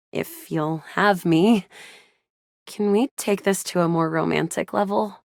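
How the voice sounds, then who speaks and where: A woman's voice: medium pitch, a bit bright, no echo, studio quality. A woman voicing a feisty, sardonic but loyal sci-fi gamer heroine in a video game, casual and slangy. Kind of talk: video game character acting.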